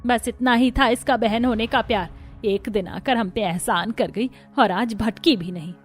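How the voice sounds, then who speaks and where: A woman's voice: fairly high pitch, moderate pace, soft background music, close to an everyday voice. A woman voicing a bitter, suspicious middle-aged aunt in a cartoon story, accusing and sarcastic. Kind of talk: Hindi cartoon story acting.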